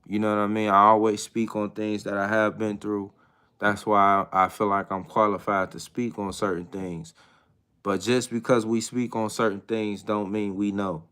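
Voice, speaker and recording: A man in his thirties talks openly to viewers in a relaxed live chat. His voice sits slightly low yet sounds bright and forward, with a smooth, clean ring. He speaks in a hesitant, reflective way, stopping and restarting often. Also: moderate pace. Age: 30s. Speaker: livestream host.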